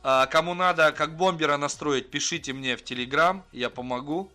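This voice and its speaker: A man's voice: on the high side, moderate pace, pushed and bright. A man hosting a prank-call livestream in casual, slangy, foul-mouthed chat. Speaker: livestream host making prank calls.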